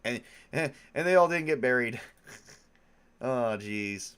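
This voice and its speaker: A man's voice: mid-range voice, animated, slow, a bit bright, smooth. Man, stuffed-up nose, casual stream chat, rambling, game master. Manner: rambling, lots of ums and restarts. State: stuffed up from a cold.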